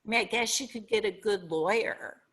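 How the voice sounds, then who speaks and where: Bright-voiced Minnesotan woman, earnest. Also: mid-range voice, fairly quick, breathy edge. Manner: earnest, reflective, searching for words. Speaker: guest author. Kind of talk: podcast interview.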